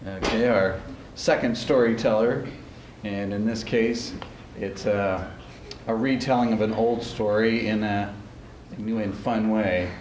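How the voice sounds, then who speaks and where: Male host, lowish voice, informal stage introductions, echoing room with faint noise. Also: fairly even intonation, a little slow, a little mellow. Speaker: speech coach, host. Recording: roomy, noisy amateur stage recording.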